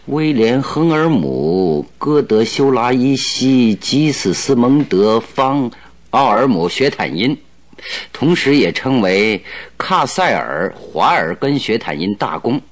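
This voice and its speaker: Radio drama detective: confident, jovial, shrewd, highly animated intonation, theatrical. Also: male voice, takes it slowly. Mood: explaining, animated. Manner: theatrical, hearty, chuckling delivery. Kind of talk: Mandarin radio drama acting.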